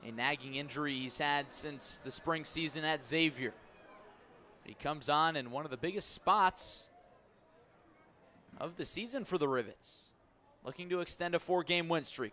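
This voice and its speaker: A male baseball play-by-play announcer with a bright, forceful voice pitched slightly high. He calls the game live in clipped phrases and leans on key words. The delivery is that of radio sports commentary. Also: slight background noise.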